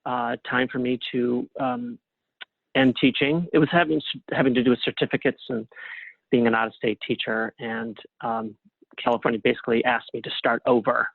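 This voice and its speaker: A man's voice: mid-range voice, level tone, normal speed, gravelly, no echo. Middle-aged man on a phone-like line. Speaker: guest playwright. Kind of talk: casual interview conversation.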